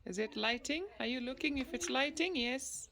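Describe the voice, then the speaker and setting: A woman's voice: high voice, normal speed, vocal fry, bright, forceful tone. A woman chatting casually as a mother filming a family vlog, prompting and encouraging a child with questions and short praise. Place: outdoors at a village farm.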